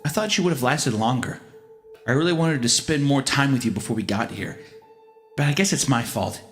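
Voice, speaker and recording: A man's voice: medium pitch, fairly quick, soft background music, pitched below the performer's own voice, natural voice. A man voicing a gleeful, cruel young female cartoon pony in a playful sing-song way. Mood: mock disappointed.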